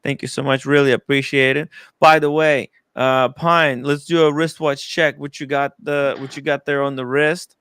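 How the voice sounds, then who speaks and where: A man hosting a casual livestream, chatting with guests and reading out viewer donations, often halting and repeating words. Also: medium pitch, level tone, fairly bright tone, clean tone, dry recording. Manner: hesitant, repeats words, reads out super chats. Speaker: livestream host. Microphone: studio mic.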